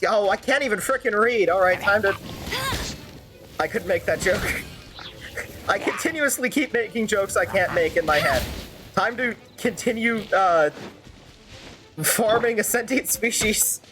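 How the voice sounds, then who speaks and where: Young woman streaming a game, rambly thinking out loud, bit of music behind her. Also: medium pitch, lively intonation, unhurried, pauses often. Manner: rambling, musing aloud. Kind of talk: casual live gameplay commentary.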